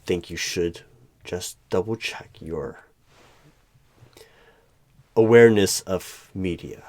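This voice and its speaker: Deep-voiced male interviewer, halting and probing. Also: darkish tone. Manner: deliberate, halting, questioning, with pauses. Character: eerie, probing male hiring manager of a secretive bureau. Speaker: hiring manager interviewer in a solo roleplay. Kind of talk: one-sided job interview roleplay, ASMR. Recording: background noise with keyboard typing and clicks. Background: computer keyboard typing.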